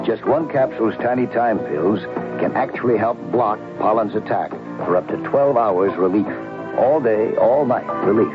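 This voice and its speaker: A man announcing a scripted radio commercial in short, punchy phrases. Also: fairly low pitch. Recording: dull old radio ad, loud music behind.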